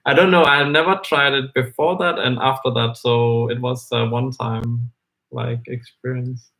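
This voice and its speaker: Man musing in halting English. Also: medium pitch, steady tone, fairly bright tone, rough recording. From Denmark. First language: Danish. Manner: hesitant, thinking aloud with many fillers and restarts. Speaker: co-host of a live book discussion. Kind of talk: casual discussion.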